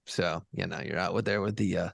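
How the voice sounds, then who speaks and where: A man's voice: sits low, fairly even intonation, a little fast, vocal fry, no echo, clean studio sound. A middle-aged man co-hosting a podcast, telling rambling, profane stories in a laid-back way. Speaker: podcast co-host and pirate tour guide. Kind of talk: casual podcast chat.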